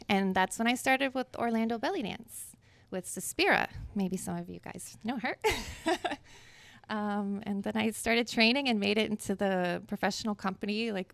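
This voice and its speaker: A woman's voice: medium pitch, animated, moderate pace, vocal fry, a bit bright, no echo. A woman in her thirties from Florida, telling her story candidly as a guest at a live podcast interview. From Florida, USA. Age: 30s. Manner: candid, chatty, laughs easily. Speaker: guest dancer. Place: live podcast event in Miami. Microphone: live event microphone.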